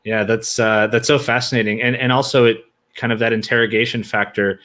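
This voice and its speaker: A man's voice: lowish voice, flat delivery, fairly quick, fairly bright tone. A man from the United States hosting an interview show, asking long, rambling, friendly questions. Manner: rambling, many fillers and restarts, friendly. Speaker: podcast host. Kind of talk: casual interview conversation.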